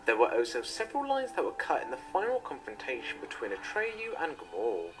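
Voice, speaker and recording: A male narrator delivers a scripted voice-over. His intonation is lively, and music plays clearly behind him. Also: mid-range voice, fairly quick, rough recording.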